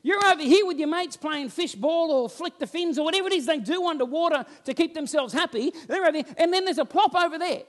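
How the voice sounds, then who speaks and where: A man, preaching a sermon on a church stage and telling stories with lively gestures while he walks about. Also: very high voice, quick pace, hardly any pauses. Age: 40s. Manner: animated storytelling, roams the stage gesturing. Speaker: pastor. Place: church stage with band instruments, indoors.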